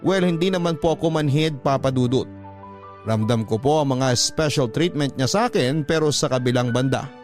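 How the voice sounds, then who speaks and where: Man narrating a confession letter. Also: mid-range voice. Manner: confiding, storytelling. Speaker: narrator reading a listener's letter. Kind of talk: scripted radio narration. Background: faint music.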